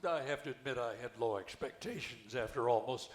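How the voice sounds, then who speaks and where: An older man reading the part of a wry, skeptical widowed retirement-home resident in an amateur play, halting and repeating words. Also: medium pitch, close to an everyday voice. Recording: echoey room, background noise.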